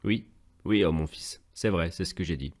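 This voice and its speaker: A man voicing a gentle, pious father patiently teaching his children in a French cartoon, measured and didactic. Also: low-pitched. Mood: calm explaining.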